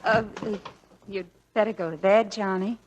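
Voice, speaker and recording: An actress playing a devoted, coaxing young wife in a 1940s radio drama, speaking earnestly. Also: female voice, medium pitch, steady tone, normal speed, heavy background noise, close to an everyday voice. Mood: gentle, concerned. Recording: vintage 1940s radio broadcast.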